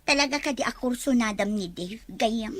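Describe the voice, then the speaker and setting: A woman acting a high-voiced, coy young office worker. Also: lively intonation, normal speed, a bit bright, dry recording. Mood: annoyed. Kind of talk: Ilocano radio drama acting.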